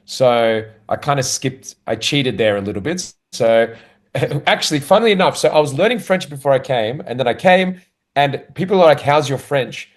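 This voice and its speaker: A man's voice: medium pitch, expressive, normal speed. A young adult man with an Australian accent, chatting casually as a guest and talking about himself with an easy, self-deprecating humor that breaks into laughs often. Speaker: guest, comedian. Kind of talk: casual conversational interview.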